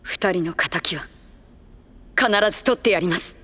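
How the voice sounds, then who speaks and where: A woman's voice: lowish voice, measured pace, a bit bright, breathy edge. Serious young officer guy, formal, reverby. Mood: fervent. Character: earnest young male officer, vengeful.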